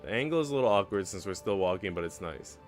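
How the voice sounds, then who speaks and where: A man's voice: low-pitched, expressive, quick pace, soft background music. A man reading a visual novel aloud as a streamer, narrating the story in the first person.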